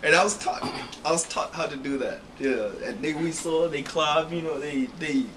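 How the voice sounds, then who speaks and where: A man from Zambia, answering interview questions in a lively way with many repeated "you know" phrases. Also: fairly high pitch, normal speed. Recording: distant mic with background noise.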